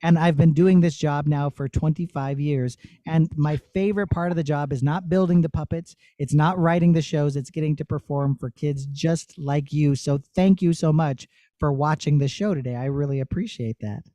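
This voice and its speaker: Male puppeteer, middle-aged, high voice, casual teaching delivery for young listeners. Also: fairly even intonation, moderate pace, hardly any pauses, warm tone, no room sound. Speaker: puppeteer teaching a master class. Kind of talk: casual explaining to children.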